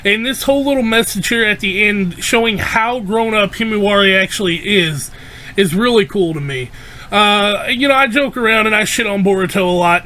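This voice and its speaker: A man casually reviewing an anime episode, rambling with fillers while his voice is going hoarse. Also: high voice, sharp tone, low-quality recording. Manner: loose and rambling, many fillers and restarts. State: losing his voice.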